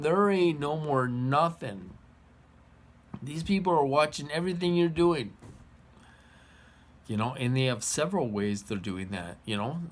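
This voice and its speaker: A man's voice: medium pitch, a little hiss or hum. An American man in his forties giving an informal monologue to viewers, colloquial, repetitive and emphatic. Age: 40s. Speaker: vlogger.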